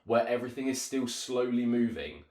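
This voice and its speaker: A man's voice: mid-range voice, expressive, normal speed, crowd noise. A man in casual podcast discussion, speaking in a blunt, dry way in an echoey room.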